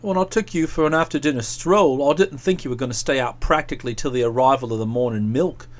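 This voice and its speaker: A man voicing an aggrieved, aloof young English gentleman in a storybook, speaking with cold, pompous sarcasm. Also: mid-range voice, fast, pitched below the performer's own voice, natural voice. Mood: aloof, scolding.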